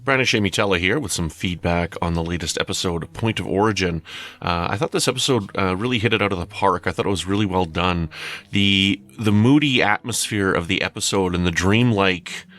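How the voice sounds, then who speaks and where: Low-voiced man thinking aloud. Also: a little fast, fairly bright tone. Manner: conversational, hesitant, thinking aloud. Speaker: listener giving podcast feedback. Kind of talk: casual spoken review.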